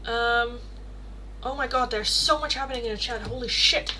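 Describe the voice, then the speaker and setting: A woman's voice: fairly high pitch, normal speed, bright, forceful tone, a little hiss or hum. A young woman with a Dutch Rotterdam accent, doing a casual livestream tarot reading and rambling through chat questions with lots of fillers. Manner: chatty, rambling, many fillers and self-corrections.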